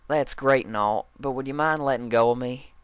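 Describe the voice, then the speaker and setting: A man's voice: medium pitch, normal speed, clear, resonant tone, dry recording, clean studio sound, pitched above the performer's own voice, natural voice. Man voicing a caring, motherly farm pony mare. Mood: hesitant. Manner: cooing, reassuring.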